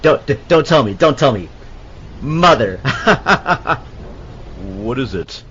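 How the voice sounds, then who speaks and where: A man's voice: mid-range voice, big pitch swings, takes it slowly, fairly bright tone. A man playing a cocky, wisecracking marine scientist in an amateur audio adaptation of a film scene. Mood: amused. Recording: dull, faintly noisy lo-fi recording.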